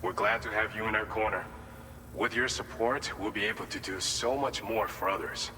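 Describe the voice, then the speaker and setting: Game cutscene role: earnest, plain-spoken former fighter, measured delivery, lowish voice, long reverb. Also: male voice, pushed and bright. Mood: encouraging. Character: earnest, humble male former boxing champ at a facility. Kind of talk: video game cutscene dialogue and briefing. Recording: reverberant hall, background vehicle noise.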